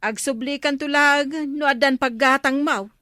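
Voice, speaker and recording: An actress playing a grasping, grumbling village cattle dealer in a radio comedy drama, plaintive and haggling, with an Ilocano accent. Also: female voice, high voice, fairly even intonation, normal speed, lightly acted. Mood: brusque haggling.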